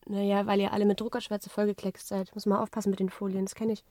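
A woman playing an earnest young woman, shy yet quietly brave, in a radio drama. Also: mid-range voice, flat delivery, fast, no echo, studio quality, natural voice. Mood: keen, competent.

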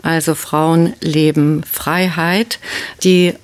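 A woman giving measured, informative answers as a studio interview guest. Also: low voice, flat delivery. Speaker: interview guest, media event program director. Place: radio studio.

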